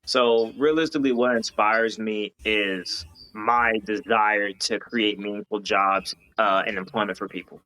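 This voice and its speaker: A man talking casually in a podcast interview, his voice bright and forward. He explains things in an earnest, rambling way, with plenty of fillers and restarts. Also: fairly low pitch, steady tone, unhurried, hardly any pauses, smooth, low-quality recording. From Hawaii. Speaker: guest, founder of a gaming company.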